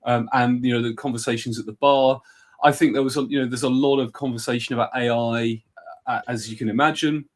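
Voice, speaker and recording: Man with a husky cold-worn voice. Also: mid-range voice, fairly even intonation, fairly quick. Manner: rambling and enthusiastic, with frequent fillers and laughs. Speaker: podcast guest. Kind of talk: casual conversation.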